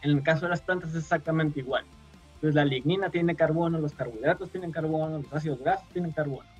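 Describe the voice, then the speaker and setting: A man from Mexico, a guest scientist on a radio show, explaining patiently with frequent pauses and fillers. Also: fairly high pitch, slightly rough, soft background music.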